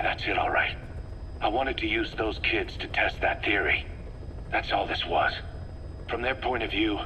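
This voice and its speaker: Tense, earnest military commander. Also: male voice, mid-range voice, gravelly, pushed and bright, a little hiss or hum, rough recording. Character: earnest, embattled military commander, a grown man. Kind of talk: dramatic video game voice acting.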